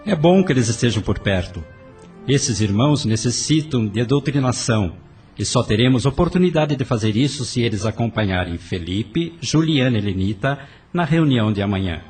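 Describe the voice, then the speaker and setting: Casting: male actor as benevolent Brazilian spirit guide, mid-pitched, measured, off-mic over music, audio drama. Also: expressive, a little mellow, distant mic, close to an everyday voice. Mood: instructive.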